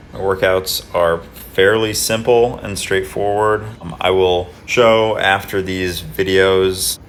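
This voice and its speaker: Low, gravelly monotone young man. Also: slight background noise. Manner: monotone, even delivery. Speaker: vlogger. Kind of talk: casual vlog narration. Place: apartment kitchen table and backyard.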